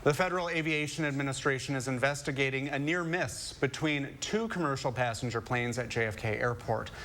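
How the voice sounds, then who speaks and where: A man news anchor reading headlines with brisk, clear delivery. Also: mid-range voice, fairly bright tone, slight background noise. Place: television news studio.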